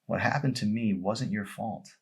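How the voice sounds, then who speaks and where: A man's voice: fairly low pitch, normal speed, gravelly, breathy edge. A man voicing a hurt, emotional young girl in an audiobook, with an emphatic, pleading manner. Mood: gentle.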